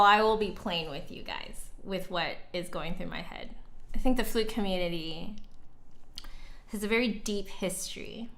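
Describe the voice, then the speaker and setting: A woman's voice: mid-range voice, sharp tone. A woman hosting a livestream, talking casually and reflectively with her chat in an informal, conversational way. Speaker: livestream host and flutist.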